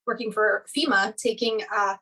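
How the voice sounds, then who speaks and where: A woman's voice: medium pitch, steady tone, moderate pace, bright, forceful tone, slightly rough, far from the mic. A woman in her thirties, a guest chatting casually in an interview, rambling with lots of "like" and light laughs.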